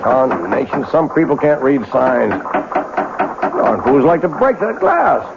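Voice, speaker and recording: An actor playing a haunted, irritable clockmaker in a radio drama, dramatic and intense. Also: male voice, mid-range voice, big pitch swings, slow pace, creaky, heavy background noise, exaggerated voice. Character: haunted, irritable male clockmaker confessing his dread. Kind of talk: old-time radio drama acting. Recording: tinny vintage radio broadcast, loud music.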